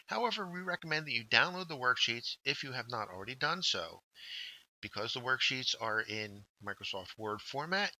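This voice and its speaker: A man narrating a scripted instructional workshop, explaining step by step with deliberate emphasis on key words. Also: mid-range voice, bright, forceful tone, poor audio. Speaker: workshop instructor, journal editor.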